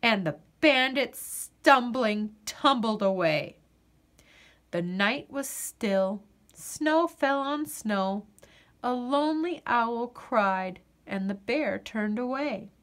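Female picture-book reader: unhurried, animated delivery with dramatic pauses for young listeners. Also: a little high. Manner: dramatic, deliberate, with drawn-out pauses. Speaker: storyteller reading a picture book aloud. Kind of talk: expressive children's storybook narration.

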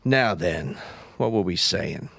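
A man's voice: low voice, animated, slow pace, vocal fry, a bit bright, no echo, exaggerated voice. An actor playing a smug, guarded gangster boss with an American accent and clipped tough-guy talk in a radio drama.

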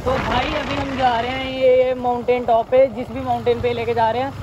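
A man's voice: very high-pitched, normal speed, dull audio, background noise. A young man chatting casually as he vlogs a motorbike trip outdoors, joking and calling out to friends. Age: young adult. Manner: excited, joking, shouting to friends while riding. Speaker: vlogger. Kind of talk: casual travel vlog chat. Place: outdoors on mountain roads.

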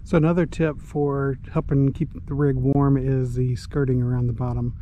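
Southern middle-aged man, mellow and even-toned, casual how-to vlog, faint outdoor hiss. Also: mid-range voice, normal speed, poor audio. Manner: easygoing, plain-spoken, with frequent uh fillers. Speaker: RV vlogger. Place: outdoors at a snowy campground and inside an RV.

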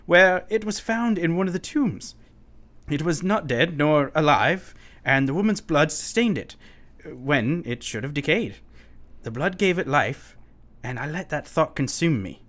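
An unhinged asylum patient, formal wording, voice swings up and down, kinda sharp, radio play. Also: male voice, fairly high pitch, big pitch swings, unhurried. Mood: brooding. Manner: formal, urgent, given to sudden chuckles. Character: unsettled, pleading, well-spoken asylum inmate, man.

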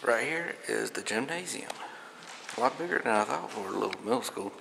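A low, gravelly man talks casually while exploring an empty building. His delivery has lively rises and falls. A clear hiss of camera noise sits under his voice. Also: fairly quick. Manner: casual, swears, narrates what he finds. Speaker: urban explorer host. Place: abandoned school building, indoors. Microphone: handheld camera. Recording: noisy handheld camera audio.

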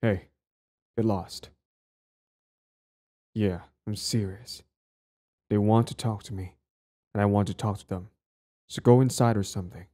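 A man's voice: fairly low pitch, steady tone, unhurried, long pauses, dark tone. A young man acting a cocky, possessive and smitten bully in an audio roleplay spoken to the listener. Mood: curt, commanding. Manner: stammering, swaggering, profane.

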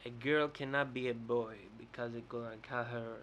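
A young man with a Greek accent rants at his webcam in a bright room, rambling and often restarting his words. His voice is bright and forward, at a middle pitch, with a faint hiss of noise behind it. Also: fairly even intonation, moderate pace. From Greece. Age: young adult. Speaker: vlogger. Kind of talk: casual rant to camera. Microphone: webcam close up.

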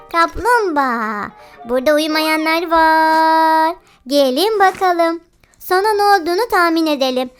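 Very high-pitched, playful child-like kids' game host. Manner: exclamatory, cooing baby talk to the animals. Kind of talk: playful children's gameplay commentary. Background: children's music.

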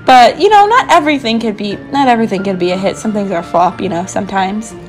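A woman's voice: medium pitch, animated, quick pace. A young woman gaming creator doing a casual, bubbly, rambling voiceover with a slightly sore throat. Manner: bubbly, rambling, frequent "like" and asides. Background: background music.

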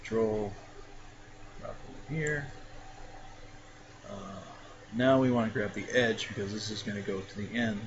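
A man casually talking through a software tutorial step by step, with frequent fillers. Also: fairly low pitch, a little slow, long pauses. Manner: relaxed, talks through each step with fillers. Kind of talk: casual screen-recorded tutorial. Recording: dull lo-fi mic with clear background noise.

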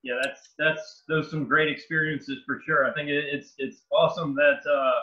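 Host, man, thirties, slightly high voice, dull lo-fi video-call sound, friendly stop-start questions. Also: fairly even intonation, pushed and bright. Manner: friendly, affirming, halting phrasing. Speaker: interview host. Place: indoor room. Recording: dull lo-fi webcam audio.

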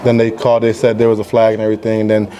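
A young man talks quickly and cheerfully at a postgame press conference. He rambles through his answers and leans on casual fillers, sounding happy after the win. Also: on the low side, monotone, mellow. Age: young adult. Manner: upbeat and rambling, with many fillers. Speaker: college defensive end.

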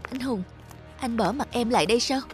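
A woman's voice: fairly high pitch, fairly quick, over music, close to an everyday voice. A woman voicing a proud, manipulative young ex-girlfriend in a cartoon drama, dramatic and reproachful. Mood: desperate reproach.

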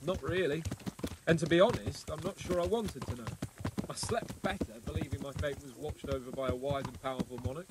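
A man voicing a cocky, talkative young nobleman in a video game, breezy and wry, his voice sitting a little high. He gossips with easy charm, and horse hooves clatter clearly behind him in the game audio. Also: fairly quick, heavy background noise, lightly acted. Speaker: game character. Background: horse hooves clip-clopping.

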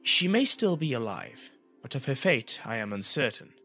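A man voicing a calm, stoic female warrior, speaking evenly and quietly with grave compassion in a fantasy story, over music. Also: mid-range voice, breathy edge, heavily acted. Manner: even, quiet, measured.

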